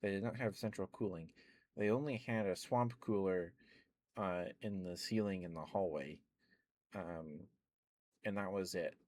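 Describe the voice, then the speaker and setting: A man chatting in a soft, rambling ASMR style, close to the mic, with many fillers and small laughs. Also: low-pitched, unhurried, no echo. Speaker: ASMR streamer.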